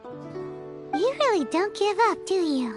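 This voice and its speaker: Squeaky, tiny childlike fairy. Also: female voice, very animated, slow, over music, heavily acted. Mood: exasperated. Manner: blunt, childlike, speaks of self in third person. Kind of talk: cartoonish video game voice acting.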